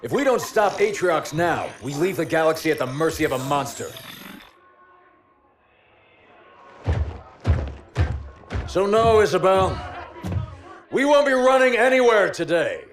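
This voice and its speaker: Steadfast aging starship captain, expressive delivery. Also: male voice, high-pitched, soft background music. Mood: firm, defiant. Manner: measured, commanding, deliberate. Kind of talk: cinematic game cutscene acting.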